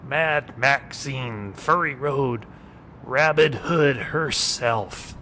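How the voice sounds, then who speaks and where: A man voicing a wry, taunting street bum and vigilante sidekick in an animated series, mock-polite and sardonic. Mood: gleeful taunting. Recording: clear low rumbling background noise.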